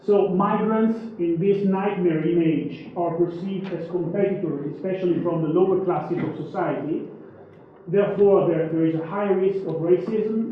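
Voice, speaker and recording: A man speaking at a conference in an urgent, argumentative way. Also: high-pitched. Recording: echoey hall from far off, tinny and noisy.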